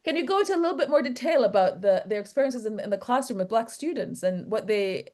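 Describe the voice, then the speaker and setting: A woman's voice: fairly high pitch, lively intonation, quick pace, darkish tone. A woman academic with a Jamaican accent, in an academic discussion, hesitantly searching for words and repeating phrases. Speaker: academic discussant asking questions.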